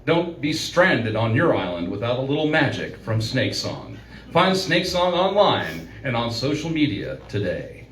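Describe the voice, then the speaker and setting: A man reading a scripted sponsor spot in old-time radio announcer style, deliberate and showy. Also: mid-range voice, big pitch swings. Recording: distant, echoey room with faint noise.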